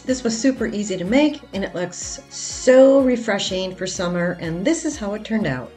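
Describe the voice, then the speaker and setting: A woman's voice: mid-range voice, lively intonation, normal speed, faint music behind. A woman hosting a craft channel, talking casually step by step through a project in a voice-over, with the odd filler. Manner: plain step-by-step explaining with fillers.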